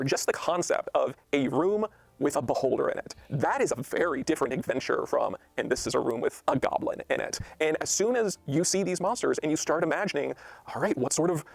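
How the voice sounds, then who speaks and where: A man's voice: on the high side, expressive, a little fast, gravelly, no echo. A man speaking as a game designer, explaining ideas expansively with lists of examples in a panel-style discussion.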